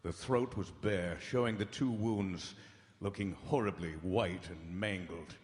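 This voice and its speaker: Grave radio-drama doctor in echoey hall. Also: male voice, deep voice. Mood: grave, horrified. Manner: solemn, dramatic, measured storytelling. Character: grave, anguished male doctor reading his private journal. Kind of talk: old-time radio horror drama acting. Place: large hall stage before live audience. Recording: echoey hall, live stage broadcast.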